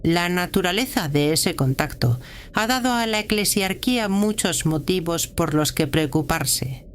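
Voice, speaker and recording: A woman playing a grave, guarded prioress of a militant religious order in a sci-fi audio drama, speaking with measured authority. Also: fairly low pitch, animated, a little hiss or hum. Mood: cautioning.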